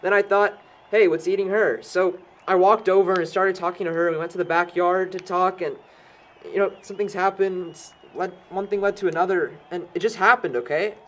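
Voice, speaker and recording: A man's voice: sits high, steady tone, fairly quick, a bit bright. An actor playing a defensive, cynical young man in an amateur audio drama, arguing with sarcasm. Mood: defensive confessing. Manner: sarcastic, argumentative.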